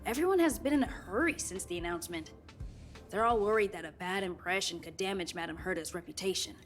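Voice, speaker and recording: A woman's voice: lowish voice, fast, faint music behind, natural voice. An actress playing a busy, apologetic, kind young woman working on a space station in a video game, speaking earnestly and politely. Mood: flustered, apologetic. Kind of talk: scripted video game dialogue.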